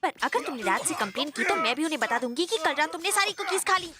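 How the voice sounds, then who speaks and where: A woman's voice: high-pitched, expressive, quick pace, over music. A woman voicing a sly little sister in a cartoon dub, scheming and wheedling. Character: sly, sweet-talking little sister who dotes on cat. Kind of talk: Hindi cartoon dubbing.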